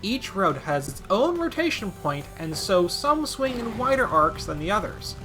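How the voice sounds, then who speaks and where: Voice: medium pitch, sing-song, normal speed, over music. A video game commentator narrating a scripted gaming video with wry, playful asides.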